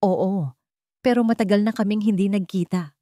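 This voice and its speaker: A woman's voice: mid-range voice, no room sound, pitched above the performer's own voice, lightly acted. Woman narrator doing a filipina undercover cop lady, guarded, careful, controlled, audio story style, dry sound. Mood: gentle, careful. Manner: measured, gentle, careful. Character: guarded undercover policewoman, young woman, conflicted yet controlled.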